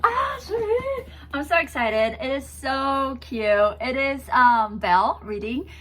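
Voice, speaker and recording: A woman's voice: high voice, lively intonation, slow pace, fairly bright tone. A woman chatting casually to camera as she unboxes a gift box, bubbly and giggly, seated on a bouncy ball at home. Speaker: vlogger unboxing a swap box.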